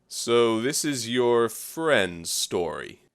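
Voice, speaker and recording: Slow, swooping, worldly male editor. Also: medium pitch, expressive, smooth. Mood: offhand, businesslike. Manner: offhand, drawling, dismissive. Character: brisk, worldly, businesslike male newspaper editor. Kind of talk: period audio drama acting.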